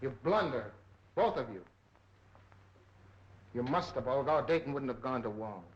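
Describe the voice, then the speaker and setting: A male actor as a stern villain heading a spy ring in a 1930s thriller. He gives orders and rebukes in a commanding, deliberate, mid-pitched voice on a dull old soundtrack. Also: animated, close to an everyday voice. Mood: reproachful. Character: stern, menacing spy ringleader scolding underlings. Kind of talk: 1930s film villain acting.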